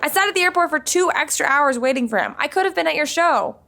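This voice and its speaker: An excitable young woman, played by an actress, very high and swooping in pitch. She talks in an animated way, with a clear hiss behind her. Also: full character voice. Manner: animated, quick to shout.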